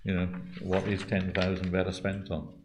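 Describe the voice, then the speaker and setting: Deep-voiced man presenting at a meeting. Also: completely flat delivery, moderate pace, very noisy. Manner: hesitant, with many restarts and qualifiers. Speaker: church meeting presenter answering questions on accounts. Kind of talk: meeting talk, thinking aloud. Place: church meeting room.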